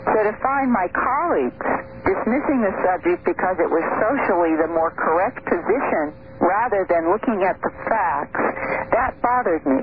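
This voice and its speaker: A woman speaking as a radio interview guest, earnest and measured, pausing often with "uh". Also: mid-range voice, lively intonation. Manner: earnest, measured, many "uh" pauses. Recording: tinny phone line with faint hiss.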